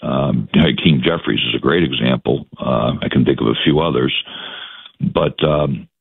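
A man in his sixties from the South Shore of Boston with a Boston accent, talking politics as a guest in a halting way, with many fillers and restarts. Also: very deep voice, gravelly, breathy edge, no room sound. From Massachusetts, South Shore of Boston. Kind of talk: political talk interview. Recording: dry, phone-like line.

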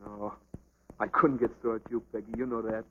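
An actor playing an earnest, quick-tempered young American boxer in love, with plain working-class speech in an old film drama. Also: male voice, on the low side, level tone, phone-quality sound, a little hiss or hum, lightly acted. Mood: tender, apologetic.

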